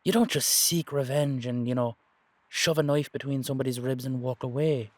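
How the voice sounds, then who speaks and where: A man acting a shrewd, streetwise investigator in an audio drama, speaking deliberately and persuasively in halting, emphatic phrases. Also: medium pitch, fairly even intonation, dry recording, close to an everyday voice. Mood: intent, explaining. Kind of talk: dramatic audio roleplay dialogue.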